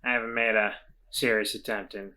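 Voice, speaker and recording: A man playing a flustered, evasive misfit in a tabletop game, halting and stammering defensively. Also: on the low side, flat delivery, pushed and bright.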